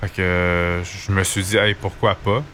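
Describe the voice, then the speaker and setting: Male interview guest, Quebec accent, lowish and bright, colloquial storyteller, slight background noise. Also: flat delivery, measured pace. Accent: Quebec French. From Quebec, Canada. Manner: informal, colloquial. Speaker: interview guest, hockey goaltender. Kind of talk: casual conversational storytelling.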